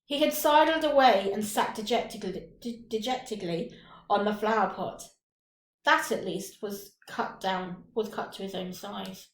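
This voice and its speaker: A woman reading a short story aloud with deliberate, dramatic phrasing and pauses. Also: mid-range voice. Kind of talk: expressive scripted story narration. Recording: roomy, echoing room.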